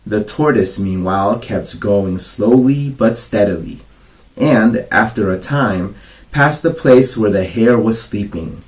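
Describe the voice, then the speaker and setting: A man reading children's bedtime fables as a storyteller, with casual, gently instructive asides to the kids. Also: on the low side, slow pace, low-quality recording. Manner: gently didactic, explains each moral.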